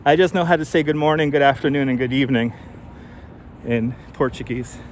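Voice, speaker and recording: A man's voice: medium pitch, brisk. A man with a mild New York accent hosting a casual walking live stream outdoors, talking to viewers with lots of fillers and restarts on a clip-on mic. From New York City. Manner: chatty, thinks aloud with fillers and restarts. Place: outdoors on city streets. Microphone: wireless clip-on mic. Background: faint street traffic.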